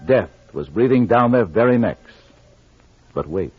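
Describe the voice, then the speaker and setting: A man's voice: fairly low pitch, animated, measured pace, husky, no echo. A man narrating a vintage radio mystery serial in an ominous, theatrical, melodramatic style. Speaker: announcer narrator. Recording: dull vintage radio recording. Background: faint music.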